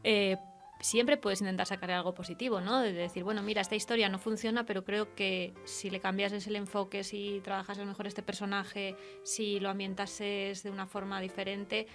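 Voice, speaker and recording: A woman's voice: medium pitch, flat delivery, moderate pace, faint music behind. Tactful woman hosting. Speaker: radio show host interviewer. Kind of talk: casual conversational radio interview.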